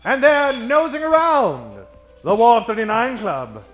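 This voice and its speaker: A man's voice: very high-pitched, wildly swooping pitch, measured pace, fairly bright tone, faint music behind. A man announcing and narrating in a theatrical old-time radio parody style.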